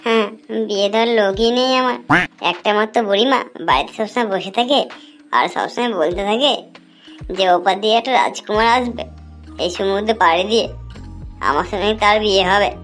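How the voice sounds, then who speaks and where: A woman's voice: mid-range voice, brisk, pauses often, a bit bright, soft background music, lightly acted. A woman voicing a blunt, foul-mouthed young village clothes seller in a cartoon, given to grumbling and scolding. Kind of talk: cartoon dialogue.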